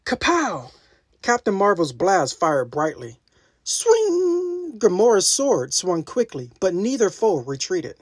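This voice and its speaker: A woman reading a children's adventure story aloud as scripted narration, with a dramatic, expressive delivery. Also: medium pitch, slow, slightly rough, no room sound, studio quality. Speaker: storybook reader.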